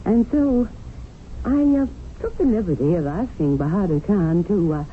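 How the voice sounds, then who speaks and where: Actress as a worldly, animated English colonial widow. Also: female voice, on the low side, normal speed, close to an everyday voice. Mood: coaxing, pleased. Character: pragmatic, domineering English widowed memsahib in colonial India. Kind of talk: radio drama acting. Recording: tinny, noisy old radio broadcast.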